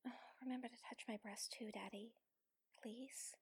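A woman's voice: a little high, fairly even intonation. A woman playing a submissive, teasing warrior lover in an intimate adult audio roleplay, whispery and pleading. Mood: coy, teasing. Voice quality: breathy, whispery. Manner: slow, pleading, coaxing.

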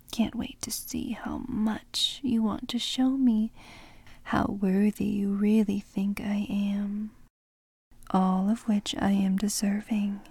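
A woman speaking in a soft, breathy whisper for an ASMR roleplay, teasing and commanding. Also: medium pitch, no echo.

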